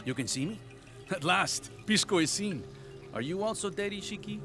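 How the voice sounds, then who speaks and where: A man's voice: sits high, expressive, normal speed, music in the background. An actor playing an eccentric outcast man who believes himself dead, in a video game. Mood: wistful, solemn.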